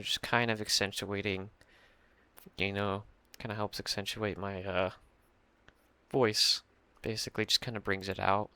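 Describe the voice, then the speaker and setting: A young man with a low voice, rambling through a casual mixing tutorial at his computer, full of fillers and restarts. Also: vocal fry, bright, forceful tone, no room sound. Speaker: music producer explaining a mix. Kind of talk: casual screen-share tutorial. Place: small room at a computer.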